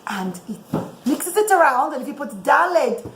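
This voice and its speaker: A woman's voice: sits high, sing-song, moderate pace, fairly bright tone. A woman telling an informal religious story to children as a mother would, explaining with repeated words for emphasis. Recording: echoey room with clear background noise.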